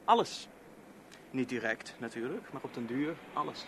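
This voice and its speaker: A man's voice: medium pitch, expressive, normal speed, a bit bright, slight background noise. An actor in a 1970s Dutch radio play, playing a self-assured policeman with a clipped, knowing manner. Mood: smug, knowing.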